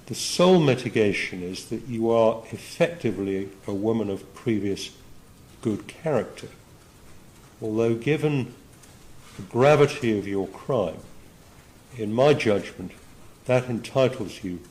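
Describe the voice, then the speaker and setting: A man speaking as a judge, delivering formal sentencing remarks in measured, broken phrases. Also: on the low side, darkish tone, poor audio. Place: courtroom.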